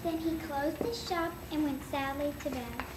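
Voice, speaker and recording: Very high young child narrator. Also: fairly even intonation, moderate pace. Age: child, about eight or nine. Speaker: narrator in a school readers' theater. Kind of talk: scripted story narration, read aloud. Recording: echoey noisy room.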